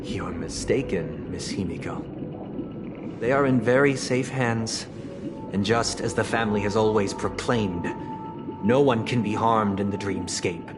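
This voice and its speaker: An actor playing a calm, persuasive young male leader who speaks in a measured, formal, gently condescending way. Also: lowish voice, close to an everyday voice. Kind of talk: video game voice acting. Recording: game cutscene with loud score. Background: orchestral music.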